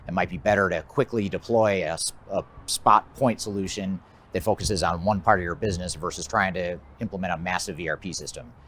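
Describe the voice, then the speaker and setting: Low-voiced American man presenting over background noise. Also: fairly bright tone. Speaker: presenter, business technology consultant. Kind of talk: explanatory presentation of a trends list.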